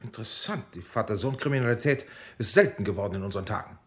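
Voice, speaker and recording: An actor playing a detached, courteous army major and interrogation doctor in a radio drama, brisk, clinical and unruffled. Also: male voice, on the low side, expressive, close to an everyday voice. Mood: detached, urbane.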